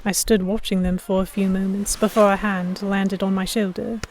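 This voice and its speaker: A woman's voice: mid-range voice, flat delivery, normal speed, darkish tone, a little hiss or hum, natural voice, rough recording. A woman playing a defiant, sheltered young lady held by pirates, narrating an audio drama in first person with articulate, literary phrasing. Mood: reflective. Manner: articulate, literary, reflective storytelling.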